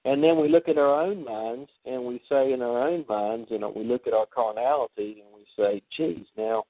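A man hosting a spiritual discussion, animated and acting out the voices he quotes. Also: mid-range voice, normal speed, no echo. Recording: dry phone line.

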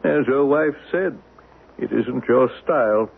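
An actor in an old-time radio drama playing a taunting alien schemer posing as a human, mocking and defiant. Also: male voice, medium pitch, a little slow, gravelly, husky, phone-quality sound. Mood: defiant, sardonic. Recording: thin band-limited radio broadcast recording.

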